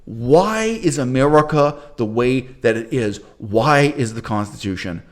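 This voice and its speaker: A man hosting his own channel, giving an emphatic, opinionated talk on history, with loud bursts that drop to quiet asides. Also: mid-range voice, animated, unhurried. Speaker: channel host.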